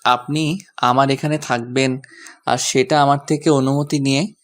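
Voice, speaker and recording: A man's voice: mid-range voice, steady tone, a little slow, fairly bright tone, lightly acted. A man playing a devoted, earnest young husband in a romantic audio drama. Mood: respectful, earnest.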